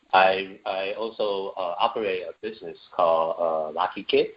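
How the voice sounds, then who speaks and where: A man from Taiwan answers interview questions in an earnest way and often pauses on fillers. His voice is very low. The audio sounds dull and a bit lo-fi. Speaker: guest, entrepreneur and parent.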